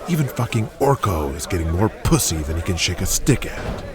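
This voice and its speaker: A man's voice: low voice, big pitch swings, a little fast. A man playing a cynical, blunt, foul-mouthed 1980s wrestling promoter in an audio drama, speaking with authority. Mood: lecturing. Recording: crowd noise behind the voice. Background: arena crowd.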